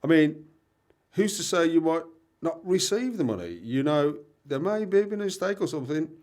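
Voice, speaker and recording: A young man plays a concerned, exasperated British friend in an amateur radio play. His voice is soft-edged and mellow with animated pitch, and he questions insistently. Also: normal speed. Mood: worried.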